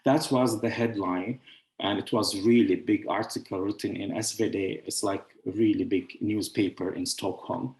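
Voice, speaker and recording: Founder type: man, lowish voice, hesitant phrasing, echoey room. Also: fairly even intonation, creaky, a little mellow. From Syria. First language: Arabic. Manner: halting, searching for words with many fillers. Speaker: founder presenting his publishing house. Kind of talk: showcase presentation. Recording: roomy echo.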